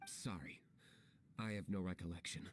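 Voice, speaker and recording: A man plays an aloof tavern owner in an anime game. His voice is low, his words terse, formal and coldly detached. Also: fairly even intonation, moderate pace, a little hiss or hum, lightly acted. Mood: cold, dismissive. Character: cold, curt, aloof young tavern owner. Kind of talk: anime-style video game voice acting.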